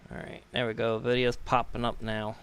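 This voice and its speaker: A man's voice: mid-range voice, completely flat delivery, moderate pace, fairly bright tone, smooth. A man hosting a live stream with casual commentary, informally muttering his way through things aloud.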